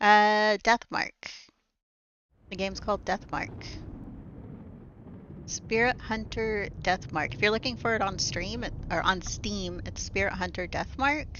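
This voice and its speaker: A woman's voice: mid-range voice, measured pace, muffled recording, a little hiss or hum. A woman streamer reading a story game aloud into a desk microphone at home, chatting casually with lots of "like" and "um" fillers. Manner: casual, soft-spoken, full of "like" and "um" fillers.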